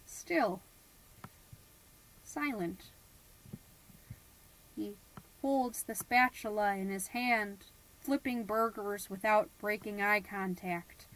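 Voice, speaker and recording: A woman's voice: fairly high pitch, a bit bright, rough recording. A woman playing a self-important, theatrical suburban mom who tells her story in a comedic scripted podcast monologue. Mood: hushed, ominous. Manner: theatrical, pompous, indignant. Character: melodramatic suburban mom, self-important party planner, easily exasperated. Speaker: podcast host character narrating.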